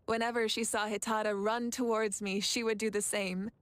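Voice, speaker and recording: A woman's voice: medium pitch, level tone, normal speed, clear, resonant tone, no echo, close to an everyday voice. A woman playing a noble, encouraging leader of a fire nation in video game style, composed and earnest. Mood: fond, nostalgic. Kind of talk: video game character acting.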